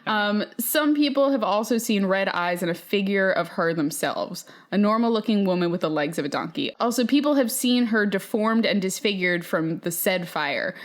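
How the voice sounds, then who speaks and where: A woman's voice: mid-range voice, lively intonation, normal speed, hardly any pauses. A woman podcast host reading listener stories aloud in a casual, bantering way, with playfully exasperated asides.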